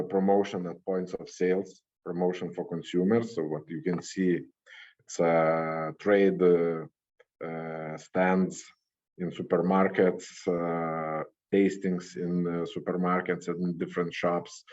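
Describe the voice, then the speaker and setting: A man presenting his organization in a webinar, halting with frequent uhs. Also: sits low, darkish tone, muffled recording. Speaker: presenter from a food promotion organization.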